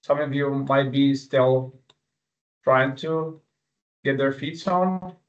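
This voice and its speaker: A man who grew up speaking Arabic leads an online exam-prep class. He talks informally and haltingly, restarting as he goes, at an ordinary middle male pitch. The sound is slightly dull and lo-fi, as webinar audio often is. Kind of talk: webinar teaching with live quiz.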